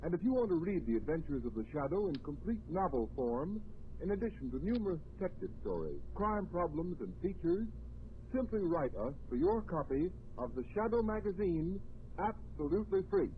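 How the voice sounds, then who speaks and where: A man announces a vintage radio serial and pitches the sponsor with brisk, persuasive energy. His voice sits a little high and moves a lot. The sound is narrow and phone-like with faint hiss. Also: animated. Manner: brisk, persuasive sales delivery. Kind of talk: 1930s radio announcing and ad read. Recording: tinny old radio broadcast.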